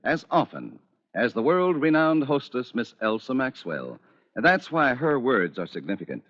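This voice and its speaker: Swooping American radio announcer. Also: male voice, medium pitch. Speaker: radio announcer and sponsor pitchman. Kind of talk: 1940s radio advertising read. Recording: dull old radio recording.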